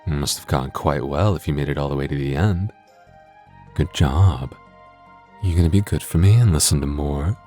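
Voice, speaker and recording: A man's voice: very deep voice, normal speed, warm tone, soft background music. A man playing a coy, flirtatious masked host who addresses the listener in playful ASMR roleplay. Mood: mock surprise, coy.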